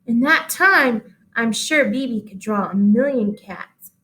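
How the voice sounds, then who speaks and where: A woman reading the part of a brisk schoolteacher in a storybook, emphatic and instructive. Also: fairly high pitch, expressive, a bit bright, low-quality recording. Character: brisk woman schoolteacher, questioning and correcting pupils.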